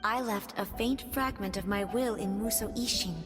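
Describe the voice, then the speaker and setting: A woman voicing a gentle, serene spirit of a departed goddess in a video game, speaking tenderly and formally. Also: medium pitch, lightly acted. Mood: gentle, warm. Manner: tender, formal, unhurried storytelling delivery. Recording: long reverb, prominent music behind.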